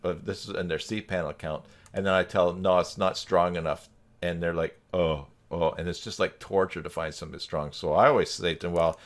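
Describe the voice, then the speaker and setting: A man's voice: sits low, fairly even intonation, fairly quick. A man hosting an informal live group video chat, talking in a casual, rambling way. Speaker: live stream host.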